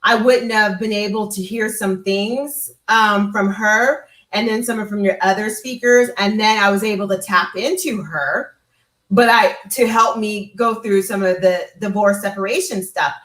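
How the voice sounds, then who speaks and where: A woman's voice: medium pitch, measured pace, a bit bright. A woman giving a casual, heartfelt personal testimonial as a guest, talking effusively and conversationally. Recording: roomy, echoey sound.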